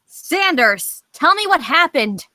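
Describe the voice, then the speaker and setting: Hot-tempered, worried woman actress reading, high and forceful, confrontational, for screenplay drama. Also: big pitch swings, takes it slowly, no room sound, exaggerated voice. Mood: alarmed. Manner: confrontational, insistent. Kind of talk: screenplay table read acting.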